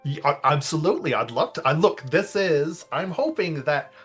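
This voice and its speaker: A man's voice: a little high, sing-song, normal speed, fairly bright tone, husky, soft background music. A man roleplaying a ship captain in a tabletop game, talking in a rambling, hesitant way. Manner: rambling, hesitant, full of "I mean".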